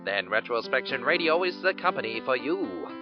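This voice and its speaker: A man's voice: medium pitch, big pitch swings, normal speed, muffled recording, over music. A man announcing a sponsor message in an upbeat, promotional way. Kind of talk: sponsor ad read.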